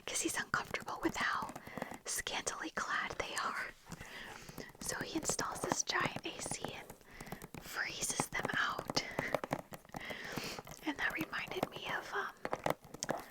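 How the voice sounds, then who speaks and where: A woman whispering in a breathy, unvoiced ASMR style, chatting informally and in a rambling way to her viewers. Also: very high voice, rough recording. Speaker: video host talking to viewers. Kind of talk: whispered ASMR movie chat.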